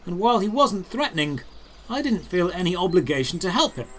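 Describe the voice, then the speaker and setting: A man with a high, very expressive voice narrates a fantasy adventure in the first person. His delivery is dramatic and deliberate, broken into short phrases, with faint birdsong behind him. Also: slight background noise. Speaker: narrator and lead character.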